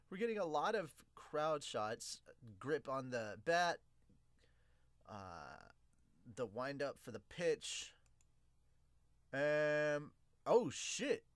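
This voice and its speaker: An informal, exclamatory podcast host who swears freely while reading and reacting in an excited commentary style. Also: mid-range voice, drawn-out words, long pauses, no room sound. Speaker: host reading and reviewing a manga.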